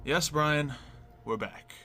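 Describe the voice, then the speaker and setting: Figure: a man doing a stern accuser. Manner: cold, measured. Pitch: a bit high for a man. Behind: clear music. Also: sharp tone. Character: stern male figure confronting a demigod. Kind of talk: roleplay series acting.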